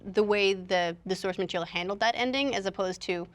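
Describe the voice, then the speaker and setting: A woman's voice: medium pitch, brisk. A woman talking informally and explaining her views as a panelist on a casual discussion show. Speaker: panelist on a video game and movie discussion show. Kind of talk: casual chat.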